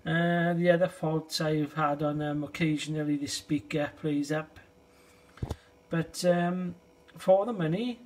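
A middle-aged man with a British accent talks through a piece of kit in a casual, low-key way. His voice sits a little high for a man and has a clearly husky edge. He is unhurried and conversational, like a hobbyist hosting his own video. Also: poor audio. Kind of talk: casual product demonstration. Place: small indoor space, shed.

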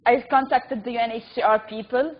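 A woman's voice: on the high side, steady tone, brisk. Earnest young woman on a muffled call. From Lebanon. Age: young adult. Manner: earnest, simple direct sentences, repeats phrases for emphasis. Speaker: invited speaker giving testimony. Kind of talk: personal testimony on a webinar.

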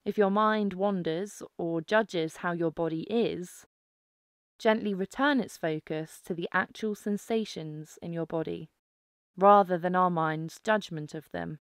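Female meditation guide: clear, smooth tone, very measured pace, calm instructive delivery. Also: on the low side, no room sound, studio quality. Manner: calm, instructive, unhurried with pauses. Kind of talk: guided mindfulness meditation narration.

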